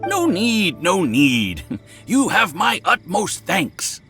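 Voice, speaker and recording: A man's voice: high voice, wildly swooping pitch, slow pace, music in the background, heavily acted. A man voicing an effusive, genial house owner in an anime game, theatrical, courteous and eager to please. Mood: grateful, jovial.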